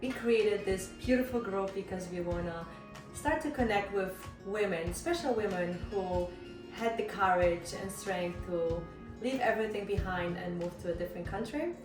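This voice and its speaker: A woman's voice: medium pitch, moderate pace. A woman from Poland with a Polish accent hosting a casual kitchen chat and asking questions. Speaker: host. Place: indoor kitchen with chopping and clattering dishes. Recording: roomy kitchen, distant mic, music underneath.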